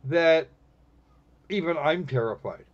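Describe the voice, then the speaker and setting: Snarky higher-voiced man on a lo-fi stream. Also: animated. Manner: snarky, halting with pauses. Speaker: livestream host. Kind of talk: casual live commentary on a visual novel. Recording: dull lo-fi microphone sound.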